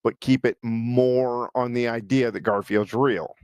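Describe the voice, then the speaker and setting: A man's voice: mid-range voice, fairly even intonation, unhurried, darkish tone, clean tone, dry recording, clean studio sound. A man co-hosting a podcast in casual chat, blunt and swearing freely. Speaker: podcast co-host.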